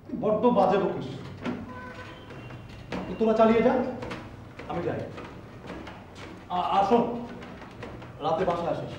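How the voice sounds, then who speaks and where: An actor playing a fussy, protective middle-aged bodybuilding club mentor in a TV drama. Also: male voice, high-pitched, lively intonation, measured pace, pauses often, muffled recording. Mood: coaxing, firm. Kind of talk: TV drama dialogue. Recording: very echoey room, distant mic, faint noise.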